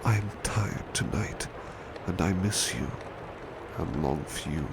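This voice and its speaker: A man plays a devoted butler in a soft ASMR roleplay. His voice is very deep and slow, yet it rises and falls expressively. He is formal, proud and solicitous, with heavy ambient noise behind him. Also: expressive, gravelly, full character voice. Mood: melancholy, tender. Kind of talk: soft-spoken ASMR roleplay with poetry reading. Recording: strong background ambience noise.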